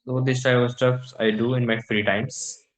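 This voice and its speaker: Young guy learning english, non-native, kinda halting, mid pitched voice, casual chat. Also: level tone, reverberant, rough recording. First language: not English. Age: young adult. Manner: halting, searching for words. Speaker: English learner in online lesson.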